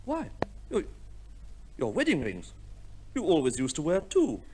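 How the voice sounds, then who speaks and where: An actor playing a smitten, fussily gallant suitor in a 1950s radio play, with a flustered, gushing manner. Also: male voice, a little high, wildly swooping pitch, unhurried, mellow, slight background noise. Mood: flustered, courtly.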